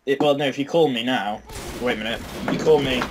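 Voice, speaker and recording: A young man with a mid-pitched voice co-hosts a gaming video. He chats in a casual, offhand and teasing way, with clear noise over his amateur mic. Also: fast talker. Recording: noisy amateur voice-chat mic.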